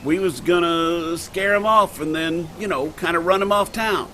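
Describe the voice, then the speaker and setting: A man's voice: a little high, normal speed, pushed and bright, a little hiss or hum. A man playing a mean, taunting Old West town bully with folksy speech in a radio Western. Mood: defensive.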